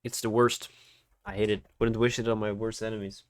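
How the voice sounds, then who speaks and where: Low-voiced congested man teaching casually. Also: flat delivery, fairly quick. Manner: informal, often checks understanding with "right?". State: congested from hay fever. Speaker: trading livestream host and teacher. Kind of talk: casual live tutorial with chat replies. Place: voice-over on an online livestream.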